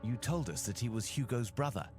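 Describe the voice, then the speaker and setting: An actor playing a confident, wry gentleman detective with a formal, assured manner in a video game. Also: mid-range voice, lively intonation, over music, close to an everyday voice. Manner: formal, brisk, assured, lightly teasing. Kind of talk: video game dialogue acting.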